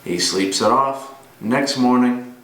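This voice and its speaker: A man performing a dramatic monologue as a gruff, weary older ex-cop, grimly menacing, telling his tale deliberately with pauses. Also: mid-range voice, a bit bright. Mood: grim reminiscing. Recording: roomy, distant mic with noise.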